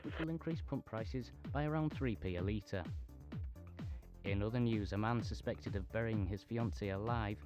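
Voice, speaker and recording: An actor playing an impassive radio newsreader, delivering a scripted bulletin in a formal, detached way. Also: male voice, fairly low pitch, normal speed, highs cut off, close to an everyday voice. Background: music.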